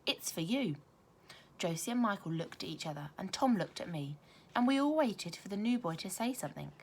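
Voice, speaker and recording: A woman reads a children's storybook aloud expressively, like a primary teacher, with careful emphasis and dramatic pauses. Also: mid-range voice, lively intonation, a little mellow.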